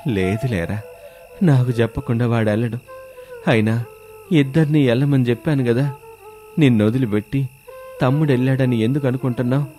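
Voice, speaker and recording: A man's voice: mid-range voice, normal speed, pauses often, mellow, faint music behind, close to an everyday voice. A man playing an ailing, cautious eighty-year-old village father who admonishes like an elder in a storybook tale. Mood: admonishing.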